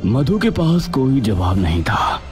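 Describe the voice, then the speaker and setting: A man's voice: mid-range voice, very animated, unhurried, darkish tone. A man narrating a scripted dramatic village story. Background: background music.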